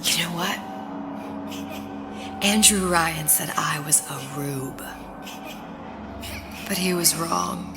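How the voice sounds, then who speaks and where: A woman playing a vulnerable but stubborn young female captive in a video game, speaking haltingly and with intense feeling. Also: fairly low pitch, vocal fry. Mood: resigned, defiant. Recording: loud game music behind.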